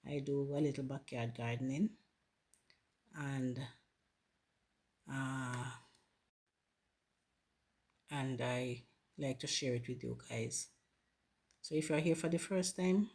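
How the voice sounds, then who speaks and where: A Jamaican woman hosting her gardening channel, chatting casually with viewers while planting, hoarse from a flu and often calling them guys. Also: a bass voice. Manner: warm, grateful, addresses viewers as guys. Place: home garden.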